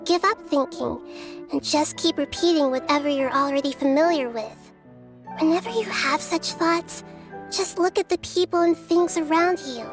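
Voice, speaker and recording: Very high-pitched, childlike young deity acted by a woman, measured, earnest, philosophical, video game, faint music behind. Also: close to an everyday voice. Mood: earnest, reassuring. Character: gentle childlike young goddess, wise and philosophical.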